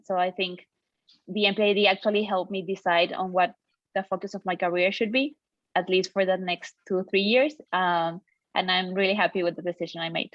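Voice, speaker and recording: A young woman from Peru, introducing herself on a panel in a friendly, halting way, on a video call. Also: medium pitch, dry recording. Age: young adult. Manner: friendly, with hesitations and restarts. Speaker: alumni panelist. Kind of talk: casual self-introduction. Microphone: computer mic on a video call. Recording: dull dry call audio.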